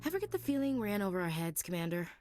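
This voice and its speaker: A woman's voice: mid-range voice, sing-song, rapid-fire, lightly acted. An actress playing a wry, wary female soldier squadmate in a sci-fi video game. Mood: wry, uneasy. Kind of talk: video game dialogue acting. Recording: voice under loud game music.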